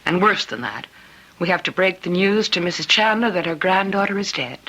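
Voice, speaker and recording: A caring female doctor in an old-time radio play. Her voice is low and raspy with a bright, tense edge, and she speaks with earnest sympathy. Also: lively intonation, a little fast, full character voice, low-quality recording. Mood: troubled. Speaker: radio drama actor.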